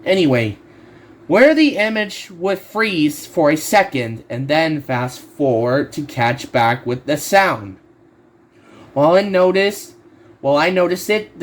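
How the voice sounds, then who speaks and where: Slow, high-pitched man reading aloud haltingly. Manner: halting, stumbles and repeats words while reading. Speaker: narrator of horror stories. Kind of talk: amateur creepypasta reading aloud.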